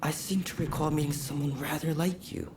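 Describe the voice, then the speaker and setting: A man playing a gentle, courteous, bedridden young swordsman in a video game, with a soft, breathy and polite delivery. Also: a little high, steady tone, a little fast, noisy background, close to an everyday voice. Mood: polite, musing. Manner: polite, self-deprecating, gently spoken. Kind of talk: dramatic video game acting. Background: game soundtrack music.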